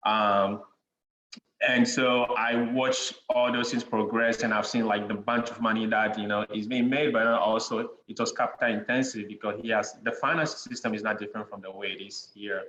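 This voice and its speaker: A young man with a Ghanaian accent, a podcast guest chatting in a relaxed way, with many "you know" fillers and frequent chuckles. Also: lowish voice, moderate pace. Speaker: guest, real estate investor. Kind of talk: casual interview conversation. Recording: dull lo-fi sound in an echoey room with faint noise.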